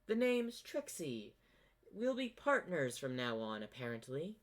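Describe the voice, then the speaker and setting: A haughty cartoon mare acted out by a man, pitched well up high. She is boastful and impatient, with a sarcastic, dismissive edge to her words. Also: very animated, measured pace. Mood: brisk.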